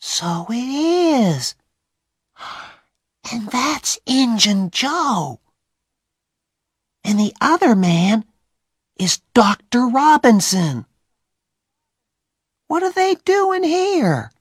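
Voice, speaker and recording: A reader voicing a nervous young boy whispering in the dark. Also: medium pitch, big pitch swings, extremely slow, long pauses, husky, no room sound, clean studio sound, voice pushed up, exaggerated voice. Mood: tense whisper. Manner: tense hushed whispering. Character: nervous boy Tom, bold but tense.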